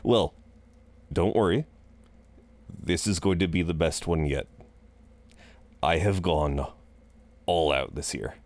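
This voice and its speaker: A man's voice: low-pitched, slow, pauses often. A man playing a flirtatious, chatty incubus innkeeper in a roleplay audio drama, welcoming and rambling with hesitant asides. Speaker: innkeeper at a front desk.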